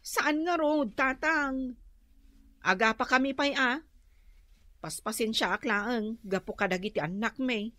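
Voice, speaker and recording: A woman's voice: fairly high pitch, unhurried, pauses often, pushed and bright, dry recording. An actress with an Ilocano accent playing an exasperated, worried wife and mother in a radio drama, nagging and plaintive.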